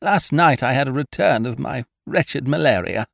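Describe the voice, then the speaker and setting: A man's voice: mid-range voice, animated, moderate pace, creaky, a bit bright, dry recording, studio quality, pitched above the performer's own voice. A man voicing a haughty, fretful upper-class lady in a humorous audiobook, with a formal, plaintive, fluttering manner. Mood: plaintive, worried. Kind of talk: humorous audiobook character dialogue.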